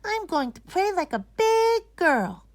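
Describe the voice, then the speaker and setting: A woman voicing an excitable little girl full of wonder in a children's storybook, exclaiming and drawing out her words. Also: very high voice, takes it slowly, no echo, voice pushed up, full character voice. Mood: excited.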